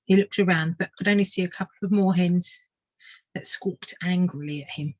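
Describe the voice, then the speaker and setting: A woman narrating a children's storybook like a tutor reading aloud, measured and expressive. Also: lowish voice, no echo.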